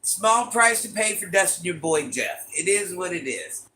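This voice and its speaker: An older woman with a very deep voice, hosting a casual livestream, reading viewer comments and playfully trash-talking. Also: animated, normal speed, pushed and bright. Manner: playful trash talk, sassy asides. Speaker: livestream host.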